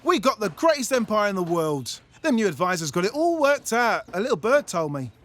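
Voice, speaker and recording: A man's voice: sits high, big pitch swings, brisk, fairly bright tone. A man playing a smug, colloquial, cheerfully dismissive patriotic bloke in a satirical audio play. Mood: smugly cheerful.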